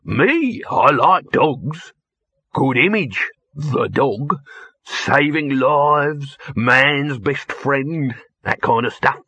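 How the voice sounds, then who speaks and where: A man's voice: mid-range voice, animated, drawn-out words, sharp tone, breathy edge, no room sound, pitched above the performer's own voice, full character voice. A man voicing a scheming male film-studio boss with a slick, wheedling salesman's manner.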